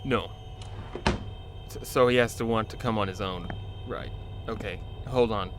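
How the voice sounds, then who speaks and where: A man's voice: lowish voice, a little slow, background noise. An actor playing a worried young man trying to get help for a troubled friend, anxious and pleading in an audio drama. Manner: anxious and pleading, rising to panicked shouts. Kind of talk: amateur audio drama acting.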